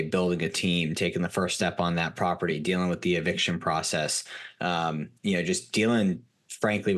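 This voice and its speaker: A man's voice: low voice, fairly bright tone. A man hosting an interview podcast, speaking conversationally in an informal, discursive way. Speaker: podcast host.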